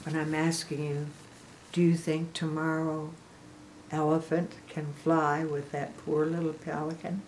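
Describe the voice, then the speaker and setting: A woman's voice: sits low, little pitch movement, measured pace, a little mellow, a little hiss or hum, poor audio. An older woman reading a picture book aloud to small children, explaining the pictures as she goes. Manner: explains the pictures, addresses the listener. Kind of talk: expressive storybook read-aloud to small children.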